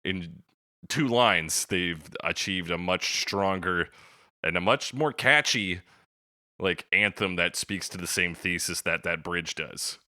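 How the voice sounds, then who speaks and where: Low-voiced man chatting with a bright edge. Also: takes it slowly, dry recording, clean studio sound. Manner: conversational, opinionated, probing with questions. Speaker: podcast co-host. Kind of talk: casual podcast discussion with slang and swearing.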